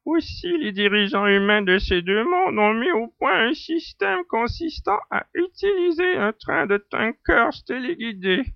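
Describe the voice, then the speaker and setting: An actor playing a jovial alien native in a science-fiction audio drama, explaining a cunning plan in measured phrases. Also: medium pitch, sing-song, creaky. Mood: confident, explaining. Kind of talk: French amateur sci-fi audio drama acting. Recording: dull, roomy, radio-like recording.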